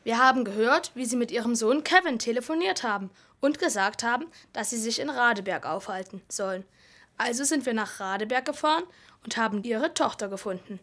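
A man's voice: very high voice, expressive, brisk, a bit bright, full character voice. A young boy playing a confident, matter-of-fact police officer in an amateur radio play. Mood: matter-of-fact, smug. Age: child or young teen.

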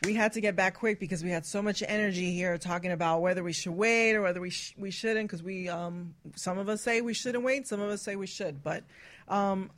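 A woman's voice: medium pitch, brisk, background noise. Female radio host, mid-range voice, casual conversational talk. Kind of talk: casual talk show chat.

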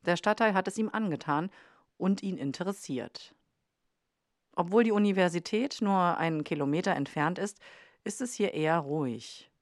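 A woman's voice: fairly low pitch, normal speed, no room sound, studio quality. A woman reading scripted podcast narration as the host.

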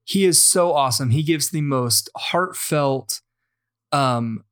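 A man's voice: a little high, slow, clean tone. A man hosting a podcast in a casual, chatty way, reading aloud with occasional stumbles and self-corrections. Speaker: podcast host. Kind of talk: casual podcast chat, reading out a listener message.

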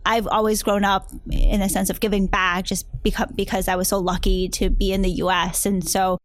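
A woman's voice: medium pitch, little pitch movement, moderate pace, no room sound. American woman, dry close interview sound. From United States, New Jersey and New York area. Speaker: interview guest, venture capitalist. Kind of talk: conversational interview answers.

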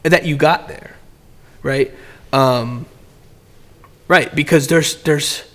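A man's voice: on the high side, takes it slowly, fairly bright tone. A man in casual podcast chat, giving business advice in a rambling way, often repeating words and restarting sentences.

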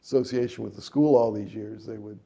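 A middle-aged man talks about his music career in a relaxed, meandering interview. His voice is gravelly and dark, with an even, flat delivery. He pauses often on fillers and restarts his sentences. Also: lowish voice. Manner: rambling, many fillers and restarts. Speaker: jazz trumpet player and composer.

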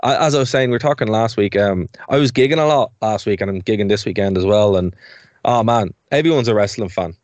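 Man, Irish accent, young adult, radio co-host, rambling, low voice, dry close mic. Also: a little mellow, no echo, lo-fi sound. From Ireland. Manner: chatty, rambling, quick to laugh. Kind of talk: casual radio chat.

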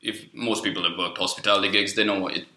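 A man's voice: low voice, steady tone, fast talker, pushed and bright. A young man from Sri Lanka, a guest chatting casually and loosely, with many fillers and frequent swearing. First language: Sinhala. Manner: rambling, lots of fillers and swearing. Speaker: guest artist. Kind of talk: casual podcast chat. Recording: echoey room.

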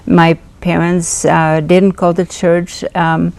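An older woman from Finland, a guest telling her life story in an interview, hesitant with many pauses. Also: fairly low pitch, little pitch movement, creaky, a little mellow. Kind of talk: personal testimony interview.

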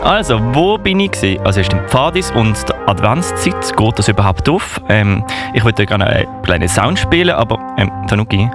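Animated Swiss German male radio host. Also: lowish voice, a little fast, over music. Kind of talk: informal youth radio presenting. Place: radio studio.

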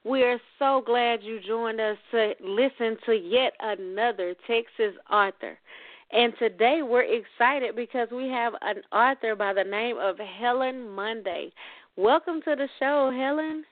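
A woman with a fairly high voice hosting a radio interview. She welcomes her guest in a friendly way. The sound is dry and squeezed into a thin, phone-like band. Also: unhurried, no room sound. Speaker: radio show host. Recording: dry tinny phone line.

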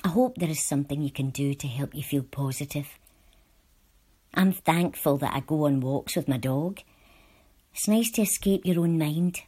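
A woman reads a written letter aloud in a soft-edged, dark and mellow tone. Her voice sits a little low and has a husky grain. The delivery is measured and earnest. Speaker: volunteer reader. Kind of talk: reading letters aloud, scripted.

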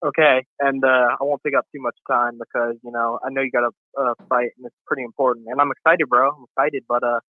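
A man calling in to a boxing show, excited and boastful, rambling in casual chat. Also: mid-range voice, quick pace, hardly any pauses, dry recording. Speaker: caller. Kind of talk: call-in chat. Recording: tinny phone line.

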